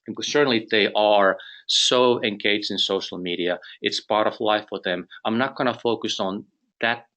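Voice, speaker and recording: A man's voice: fairly low pitch, moderate pace, sharp tone. A middle-aged man from Finland with a Finnish accent, presenting a business webinar carefully, with restarts and light humor. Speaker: company president presenting a webinar.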